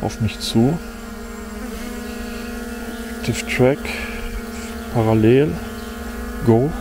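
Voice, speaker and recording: A man's voice: mid-range voice, extremely slow. A middle-aged man giving casual running commentary to himself while testing a drone outdoors, muttering mildly disappointed remarks. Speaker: drone vlogger. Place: outdoors in a forest. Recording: outdoor recording with audible insect noise. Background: insects buzzing and faint outdoor ambience.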